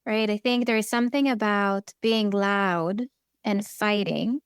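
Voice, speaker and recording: Israeli-accented woman co-host, smooth and direct, dry close mic. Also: mid-range voice, steady tone, a little slow, no echo. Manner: direct, candid, emphatic. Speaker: podcast co-host, therapist. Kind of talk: conversational podcast chat.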